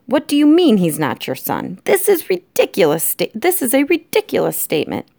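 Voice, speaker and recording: A woman's voice: a little high, huge pitch swings, normal speed, creaky, mellow, dry recording, pitched above the performer's own voice, heavily acted. Storybook role: disdainful mother mouse, blasé yet indignant, theatrical phrasing voiced by a woman.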